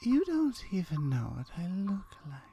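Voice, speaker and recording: Aloof female gorgon for ASMR roleplay, played by a woman: very slow drawn-out murmur, dark mellow tone, slyly flirtatious. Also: fairly low pitch, huge pitch swings, dull audio, a little hiss or hum, heavily acted. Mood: incredulous, curious.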